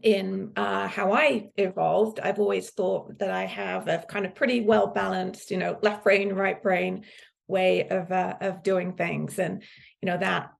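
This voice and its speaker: A middle-aged woman speaks. Her voice is medium in pitch and heavily creaky. She answers conversationally, as a guest being interviewed. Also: flat delivery, a little slow. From UK, countryside in Suffolk, England. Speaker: podcast guest, business executive.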